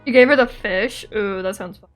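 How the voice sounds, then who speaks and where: A woman's voice: mid-range voice, normal speed, a bit bright. A woman streamer chatting casually and playfully with her viewers while she plays a game. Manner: playful, giggly, reading and answering chat. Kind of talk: casual livestream chat while gaming. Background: game music.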